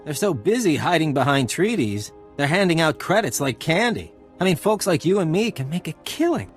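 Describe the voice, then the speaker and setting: An actor playing a brash, cynical, money-hungry resistance rebel in a video game. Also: male voice, fairly high pitch, big pitch swings, moderate pace, soft background music. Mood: brash, scheming.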